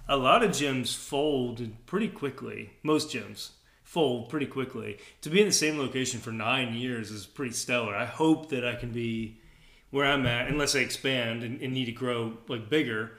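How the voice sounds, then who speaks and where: A man's voice: mid-range voice, moderate pace, fairly bright tone, echoey room. A man hosting a podcast, chatting casually with frequent laughs and restarts. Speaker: podcast host, gym owner. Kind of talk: casual chat.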